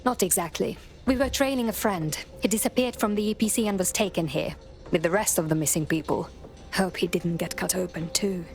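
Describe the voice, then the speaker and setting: A woman's voice: on the low side, fairly quick, gravelly. A woman playing a direct, resolute underground hacker operative in a video game. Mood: concerned. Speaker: resistance operative character.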